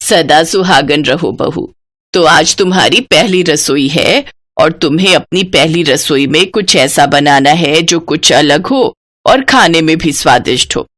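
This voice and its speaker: A woman voicing an affectionate, approving elderly mother-in-law in a moral cartoon story, speaking slowly and emphatically. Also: low-pitched, expressive, a bit bright, pitched below the performer's own voice, heavily acted. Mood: instructing.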